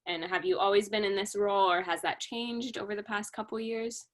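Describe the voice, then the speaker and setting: A woman's voice: medium pitch, steady tone, brisk. A woman hosting an interview over a video call, asking friendly, upbeat questions.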